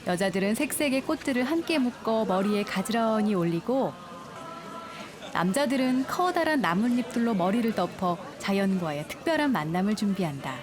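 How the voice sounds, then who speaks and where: A woman reading a calm, lyrical documentary travel narration. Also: mid-range voice, moderate pace, a crowd in the background. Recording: studio voice-over with music.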